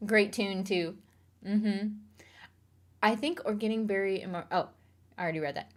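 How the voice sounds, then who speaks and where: A woman streamer chatting casually on a live stream, reading viewer comments aloud and laughing along. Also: mid-range voice.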